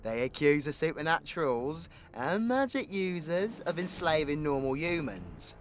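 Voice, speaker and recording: A man's voice: a little high, very animated, unhurried. A British man playing a cocky, foul-mouthed supervillain in an audio roleplay, with a crude, playful, conversational swagger. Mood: wry storytelling. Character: cocky, foul-mouthed male supervillain, orphaned, teasing his drinking partner. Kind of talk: audio roleplay addressed to the listener.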